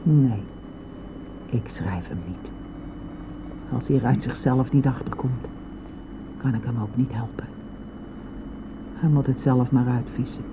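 A woman's voice: extremely low pitch, measured pace, long pauses. An actress playing an anguished, stubborn elderly mother in a radio play, insistent and aggrieved, at times sinking to a near-whisper. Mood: resigned, hushed. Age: older. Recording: noisy phone-like old radio play recording.

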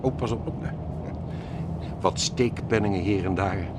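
A man's voice: a little slow, natural voice. An actor playing a genial, worldly older man, chuckling and avuncular, in a radio drama. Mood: reflective, chatty. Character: genial, wealthy older widowed expatriate man, worldly and talkative. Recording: noisy outdoor setting with vehicle rumble.

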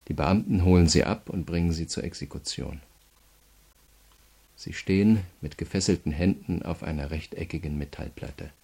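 Radio crime drama: relentless prosecutor, deep creaky voice, measured and coldly courteous, never letting the prisoner off. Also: male voice, darkish tone, full character voice. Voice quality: gravelly. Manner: measured, methodical, coldly polite. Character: cool, relentless male state prosecutor pressing a prisoner.

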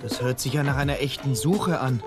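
An actor playing a naive, excitable young prince in a fairy-tale radio play, earnest and melodramatic. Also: male voice, mid-range voice, fairly quick, lightly acted. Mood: earnest, eager. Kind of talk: German radio play acting. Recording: studio radio play with music behind.

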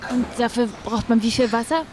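Field reporter, female actor, articulate and questioning, lightly high pitch, scripted radio reportage, noisy surroundings. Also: flat delivery, brisk, close to an everyday voice. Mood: probing. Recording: clear ambient noise of an animal barn.

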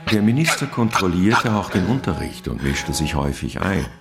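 An actor playing a troubled, courtly physician in a radio drama, speaking in a grave, formal, measured manner. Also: male voice, low voice, sing-song, gravelly, music in the background, full character voice. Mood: grave recounting. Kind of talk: radio drama narration and dialogue.

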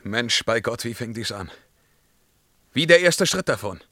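An actor playing an imperious, self-tormenting emperor in a German radio play, declaiming verse that swings between command and hushed brooding. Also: male voice, mid-range voice, lively intonation, a little slow, no echo. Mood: stern questioning.

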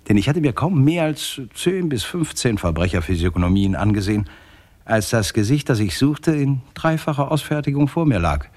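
A man acting a thoughtful witness in a 1960s radio crime drama, recounting things in a measured, sometimes hesitant way. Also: low-pitched, breathy edge, no room sound.

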